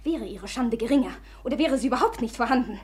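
A woman's voice: fairly high pitch, expressive, fast, a little mellow, close to an everyday voice. An actress playing a proud, commanding young woman. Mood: cold determined. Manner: commanding, cutting. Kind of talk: 1950s German radio play acting.

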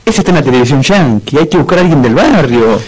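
An actor playing a practical, streetwise best friend in a comedic radio play, chiding and reassuring in colloquial speech with a Rioplatense Argentine accent. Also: male voice, fairly high pitch, animated, quick pace, a little mellow, husky, poor audio.

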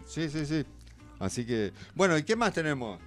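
Male radio host, Rioplatense Argentine, very animated delivery, casual banter over a music bed. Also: on the high side, moderate pace.

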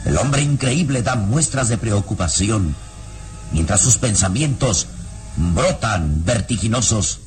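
A man narrating a vintage radio serial in a solemn, deliberate, theatrical style. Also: lowish voice, animated. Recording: old radio serial with loud music bed.

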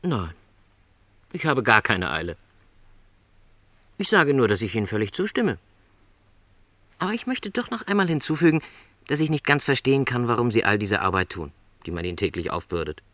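An actor playing a middle-aged travelling salesman in a radio play, gentle, earnest and lonely, with courteous, careful, apologetic phrasing. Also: medium pitch, lively intonation, moderate pace, long pauses, no echo, natural voice.

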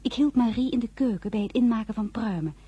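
A woman's voice: a little high, quick pace, dark tone, husky, no room sound, muffled recording. A woman narrating a literary story in the first person, composed and scripted.

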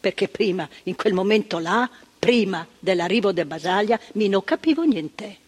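A woman's voice: medium pitch, lively intonation, normal speed, darkish tone, husky. An actress playing a former woman asylum nurse, telling her memories in a plainspoken stage monologue that repeats phrases for emphasis. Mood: sober. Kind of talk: theatrical monologue of remembered testimony. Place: theatre stage. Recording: long stage reverb, radio broadcast.

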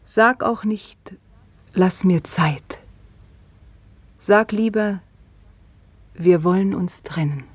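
A hushed, bitter young widow. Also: female voice, mid-range voice, slightly rough, dry recording. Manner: slow, hushed, often whispering. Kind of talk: radio drama acting.

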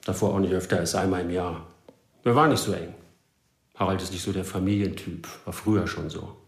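An actor playing an aggrieved long-serving prisoner in a radio crime drama, grumbling and pedantic, in an echoey room. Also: male voice, low-pitched, brisk. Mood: detached, bitter.

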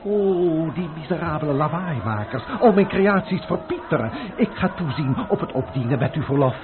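A man's voice: a little high, animated, moderate pace, narrow, tinny audio, background noise, natural voice. A man playing a pompous, fussy old French chef in a radio play, full of dramatic outbursts. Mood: indignant. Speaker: chef Anselme.

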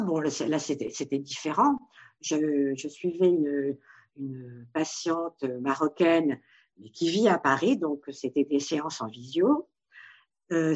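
A woman from Morocco, a therapist, answering interview questions in a thoughtful, halting way with many restarts. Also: sits low, slightly rough. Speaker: Gestalt therapist. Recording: dull lo-fi sound.

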